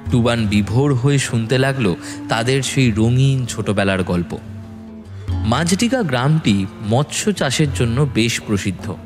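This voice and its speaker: A male narrator reads a ghost story in a dramatic, scripted style. His mid-pitched voice moves with lively intonation, and soft music plays underneath. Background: background music.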